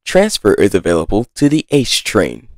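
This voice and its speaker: A man's voice: fairly low pitch, huge pitch swings, moderate pace, no echo, studio quality. A man reading short scripted train announcements.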